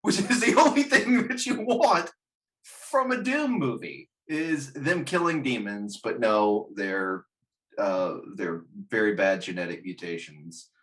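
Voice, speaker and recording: A man on a casual film panel whose pitch leaps and dips freely as he talks. He rants bluntly and swears often, in an informal conversational style. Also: animated, takes it slowly, a bit bright, poor audio. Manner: blunt, profane ranting. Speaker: podcast panelist. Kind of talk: casual chat, film discussion.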